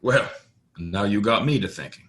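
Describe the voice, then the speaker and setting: A man's voice: fairly low pitch, lively intonation, moderate pace, fairly bright tone. A man playing a polite, modest cowboy stranger in a western audio play, courteous and understated. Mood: easygoing.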